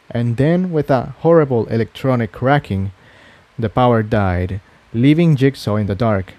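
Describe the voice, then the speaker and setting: A man's voice: mid-range voice, warm tone, no room sound. Man narrating a story, very dry recording. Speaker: fan fiction narrator.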